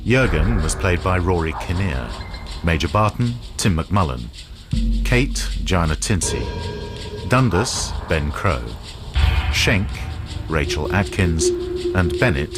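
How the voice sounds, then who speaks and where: A man makes a scripted closing announcement. His voice is deep and unhurried, with expressive intonation, over loud music.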